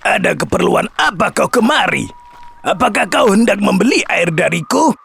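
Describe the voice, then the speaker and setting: A man voicing a greedy, brash well owner with a raspy, gravelly voice in an animated children's story. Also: high-pitched, moderate pace, faint music behind, heavily acted. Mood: surprised, suspicious. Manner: brash, dismissive, exaggerated. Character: greedy, gruff male well owner, scheming merchant.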